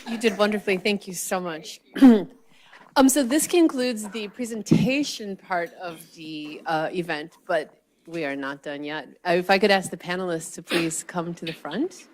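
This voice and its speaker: A woman's voice: lowish voice, normal speed. A woman moderating a symposium panel, speaking informally with fillers and light humor. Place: symposium hall, indoors.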